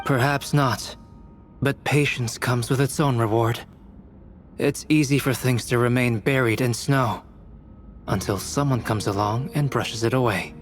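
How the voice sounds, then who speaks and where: A man voicing a calm, measured young game character who patiently questions the traveler in a fan dub. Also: mid-range voice, faint music behind, lightly acted. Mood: calm probing. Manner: patient, deliberate, quietly probing. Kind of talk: fan voice acting of a game cutscene.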